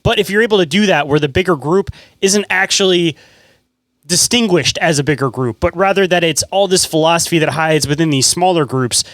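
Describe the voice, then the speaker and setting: A man's voice: a little high, brisk, fairly bright tone, dry recording. A man hosting a podcast, speaking casually in long, rambling, speculative thoughts peppered with "like". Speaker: podcast host. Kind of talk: casual conversational interviewing.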